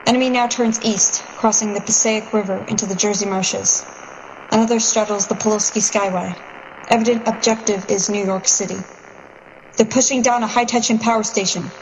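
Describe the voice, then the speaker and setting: A woman's voice: medium pitch, steady tone, normal speed, a little mellow, close to an everyday voice. A woman playing a tense, determined military pilot in a radio drama, reporting in clipped phrases. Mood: tense, businesslike. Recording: dull lo-fi radio sound, hiss.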